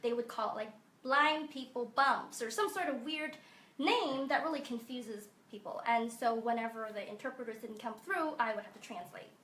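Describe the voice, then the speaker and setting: A young woman from Hong Kong giving measured, explanatory interview answers. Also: on the high side, fairly bright tone, roomy sound. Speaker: guest.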